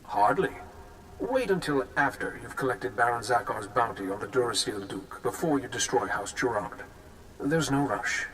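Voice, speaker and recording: An actor playing a suave, haughty nobleman of an assassin house in a video game, with a pompous, measured, coolly menacing manner. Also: medium pitch, expressive, fairly bright tone, slight background noise, lightly acted, poor audio. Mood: cool, commanding. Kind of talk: scripted video game acting.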